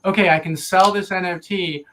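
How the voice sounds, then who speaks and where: A man's voice: high voice, fairly even intonation, fairly quick, a bit bright, a little hiss or hum. A man co-hosting a casual chat, talking promotionally with enthusiasm. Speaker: co-host and promoter.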